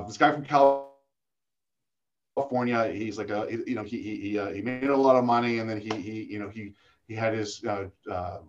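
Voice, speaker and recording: Gravelly-voiced man rambling as a guest. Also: medium pitch, lo-fi sound. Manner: rambling, self-deprecating, frequent restarts. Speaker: podcast guest. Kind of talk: casual interview chat.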